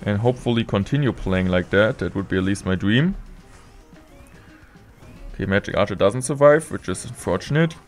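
A young man streaming gameplay from a room indoors, talking through each move in steady play-by-play with excited bursts at big plays, a little sick. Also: fairly low pitch, moderate pace, pauses often, soft background music. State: slightly sick. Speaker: game streamer. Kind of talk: live gameplay commentary.

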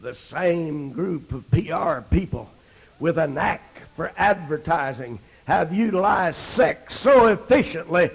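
Gravelly American preacher, high-pitched man. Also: expressive, takes it slowly. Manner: swings between low folksy storytelling and loud shouted exhortation; punctuates phrases with amen. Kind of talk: Pentecostal holiness sermon. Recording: dull, lo-fi archival sermon recording.

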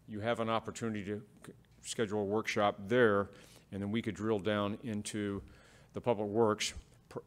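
A man on city staff walking a council through scheduling at a public meeting, in a measured way with fillers and restarts. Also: on the low side, fairly bright tone. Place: council chamber.

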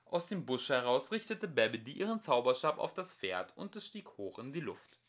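A man reading a fairy tale aloud as an amateur audiobook narrator, with expressive delivery. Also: mid-range voice, normal speed.

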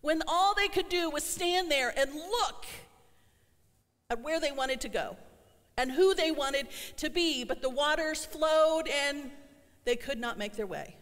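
A middle-aged woman pastor delivering an earnest sermon, slowing down to stress her refrains, recorded in a church sanctuary. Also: high-pitched, fairly bright tone. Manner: earnest, slows for emphasis on refrains. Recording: long church hall reverb.

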